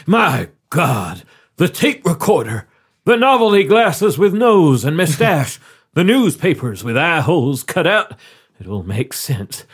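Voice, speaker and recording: A man's voice: a little high, big pitch swings, slightly rough, exaggerated voice. A man voicing a bumbling, cowardly male ambassador who blusters and panics when pressed. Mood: flustered. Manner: blustering, panicky, slow and whiny.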